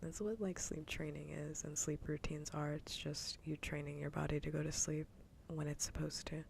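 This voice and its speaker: Female ASMR streamer, hushed breathy whisper with a dark, crackly tone, conversational, slight background rumble. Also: level tone, normal speed. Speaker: livestreamer, ASMR host. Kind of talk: whispered ASMR casual chat. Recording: faint low rumble noise.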